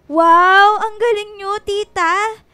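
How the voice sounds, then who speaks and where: A very high-pitched young woman, the cheeky, chatty friend in a radio drama. She teases playfully and exclaims a lot, dropping English words into her lines. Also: fairly even intonation, slow pace, sharp tone, no room sound, soft background music, full character voice. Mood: playful teasing. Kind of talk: Filipino radio drama acting.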